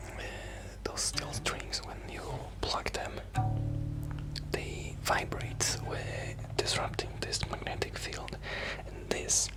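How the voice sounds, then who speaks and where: A man playing a shy, modest musician friend in a hesitant, soft-spoken ASMR roleplay. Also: natural voice, rough recording. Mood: softly explaining. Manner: hesitant, halting, apologetic.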